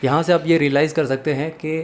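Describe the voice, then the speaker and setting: A man's voice: on the high side, level tone, quick pace. A man with a Pakistani accent giving a methodical explanatory lecture, mixing in English legal terms and setting out points one by one. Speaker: law lecturer.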